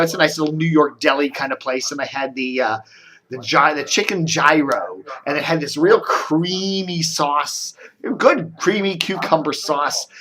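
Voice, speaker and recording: A man's voice: on the high side, bright, forceful tone. An American man chatting casually to camera as a video blogger, meandering and thinking aloud. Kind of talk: casual rambling chat to camera. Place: indoors at home.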